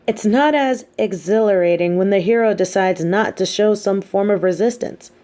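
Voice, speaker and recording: Mellow, sardonic supervillainess played by a woman. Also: medium pitch, moderate pace, natural voice. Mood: teasing, amused. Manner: mocking, theatrical, conversational with swooping emphasis. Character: sardonic, teasing female supervillain with a hidden soft side. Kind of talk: scripted audio roleplay monologue spoken to the listener.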